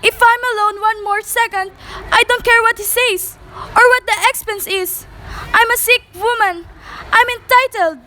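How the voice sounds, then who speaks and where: Girl doing a super high, tense, bossy nervous sick wife in a radio play, bit noisy. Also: fairly even intonation, moderate pace, pushed and bright. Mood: impatient, demanding. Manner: imperious, frantic, melodramatic. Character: panicked, demanding, nervous invalid wife alone at night. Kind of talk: amateur radio play acting.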